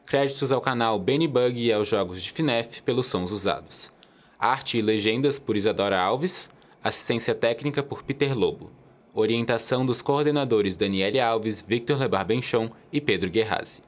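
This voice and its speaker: A man's voice: medium pitch, normal speed. A man reading scripted narration as the storyteller of an audio drama.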